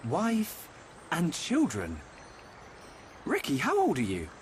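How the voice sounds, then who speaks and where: A man's voice: fairly high pitch, huge pitch swings, slow, a little hiss or hum, full character voice. A man with a British accent playing an earnest, friendly young hero in a video game. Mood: puzzled. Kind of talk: dramatic video game acting.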